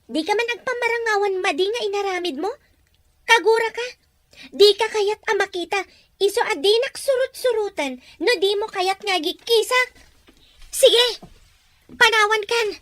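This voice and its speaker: Actress as guarded, wounded young woman, very high pitch, audio drama, dry recording. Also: moderate pace, pauses often, a bit bright, dry recording. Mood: angry.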